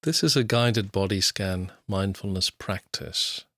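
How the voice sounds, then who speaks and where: A man narrating a guided meditation as an instructor, in measured, unhurried short phrases with long pauses. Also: low-pitched, sharp tone, no room sound, clean studio sound.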